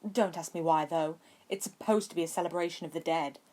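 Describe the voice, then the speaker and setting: A woman's voice: low voice, steady tone, brisk, warm tone, lightly acted. A woman voicing a wry, gloating, theatrical young schoolboy in a story reading. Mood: explanatory.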